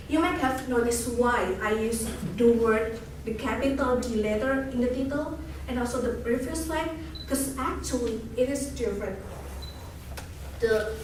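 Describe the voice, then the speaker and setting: Young indonesian woman giving a student talk, kinda high voice, far off mic with background noise. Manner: earnest, explanatory, frequent restarts. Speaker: student presenter. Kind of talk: academic presentation. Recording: echoey room from far off, noisy.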